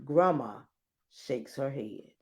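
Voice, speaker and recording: Female storybook reader, unhurried and highly varied in pitch, expressive emphasis for young listeners. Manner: expressive, dramatic emphasis with deliberate pauses. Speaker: read-aloud host. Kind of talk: children's storybook read-aloud with brief asides.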